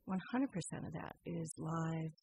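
A woman speaking as a guest in a casual interview, explaining things in a measured, emphatic way. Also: low-pitched, vocal fry, mellow, breathy edge. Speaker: radio theater company member, guest.

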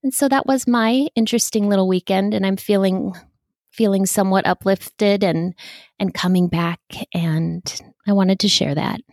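A woman's voice: mid-range voice, steady tone, normal speed, a little mellow, no echo. Woman podcast host, close dry sound. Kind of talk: personal podcast introduction and interviewing.

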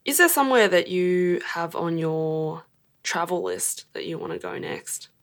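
A woman's voice: on the low side, lively intonation, measured pace, vocal fry. A woman interviewing a podcast host in a playful, teasing, casual way.

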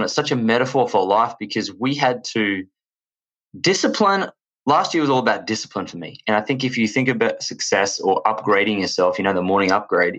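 Energetic Australian man, low voice. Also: rough recording. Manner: energetic, rambling storytelling. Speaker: podcast guest, entrepreneur. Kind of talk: casual chat.

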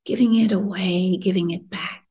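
A woman leading a guided meditation in a gentle, soothing, breathy voice that often drops near a whisper, unhurried with long pauses. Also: lowish voice, steady tone. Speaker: podcast host and meditation guide.

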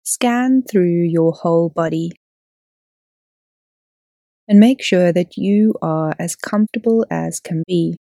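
A woman yoga teacher leading a guided relaxation in a soothing, unhurried, gently instructing way. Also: lowish voice, dry recording, clean studio sound. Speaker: yoga teacher and podcast host. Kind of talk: guided relaxation meditation narration.

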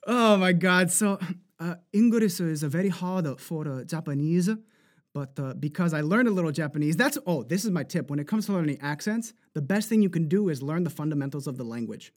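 A man with a New Jersey accent, talking as an animated voice actor guest who laughs often and slips into accent demos. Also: on the high side, brisk. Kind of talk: casual interview chat.

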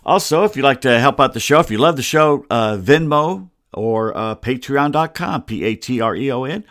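A man's voice: mid-range voice, expressive, moderate pace, a bit bright, no room sound, clean studio sound. An American man hosting a solo sports show in a casual, grumbling and wry way, with rambling asides. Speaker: sports podcast host. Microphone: close computer microphone.

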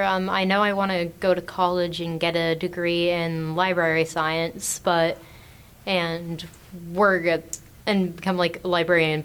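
A woman's voice: fairly low pitch, clean tone. A teenage girl chatting tentatively as a panelist in a casual discussion. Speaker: teen book club panelist.

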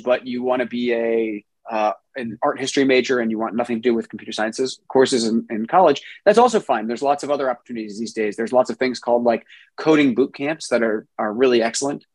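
Guy from new jersey, kinda husky, dry sound, lots of ums, laid back. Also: mid-range voice, brisk, no room sound. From New Jersey, USA. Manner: relaxed, many ums and restarts. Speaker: software engineer guest. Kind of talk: interview answers.

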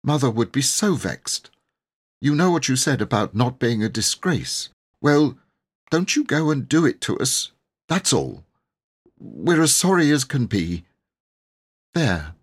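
A man's voice: medium pitch, big pitch swings, unhurried, long pauses, slightly rough, voice pushed up. A man voicing an earnest, anxious but brave young boy making a pleading confession in a storybook reading.

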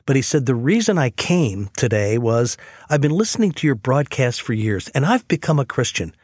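A man's voice: mid-range voice, very animated, moderate pace, darkish tone, no room sound, clean studio sound. A man telling stories conversationally and earnestly as host of a faith radio program. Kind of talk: conversational storytelling on a faith talk show.

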